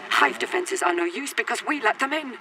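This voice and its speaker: A man's voice: extremely high pitch, lively intonation, fairly quick, bright, forceful tone, slight background noise, exaggerated voice. An actor playing a frightened, urgent man sending formal, pleading distress transmissions over radio in a sci-fi game. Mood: tense, bitter. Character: frightened, desperate male radio broadcaster in hiding during invasion.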